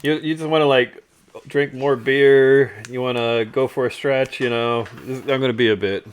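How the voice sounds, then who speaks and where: A man's voice: mid-range voice, normal speed, a little hiss or hum. A man hosting a live stream, telling stories casually and humorously, often chuckling and acting out what people said. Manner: chuckles often, animated retelling with acted-out quotes. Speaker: storytelling show host. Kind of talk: casual humorous storytelling over photos.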